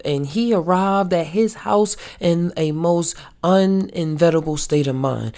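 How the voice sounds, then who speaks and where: A woman reads a novel aloud for a book club. Her voice sits low and she takes the text slowly. The delivery is a little halting, as in an unpolished read-along. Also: a little mellow.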